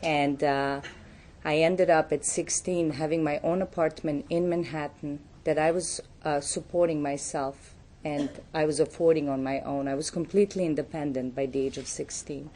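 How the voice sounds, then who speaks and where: A woman's voice: low-pitched, fairly even intonation, moderate pace, warm tone. A woman from Serbia with a Serbian accent, giving a candid, reflective recovery talk as a guest speaker, with frequent fillers.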